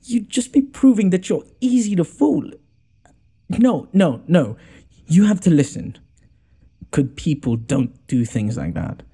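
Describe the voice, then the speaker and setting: Noble lord for fantasy audio roleplay, male actor: haughty yet caring, soft-toned and husky, theatrical, exasperated sarcasm. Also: high voice, lively intonation, measured pace, heavily acted. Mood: urgent concern. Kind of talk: scripted audio roleplay addressed to the listener.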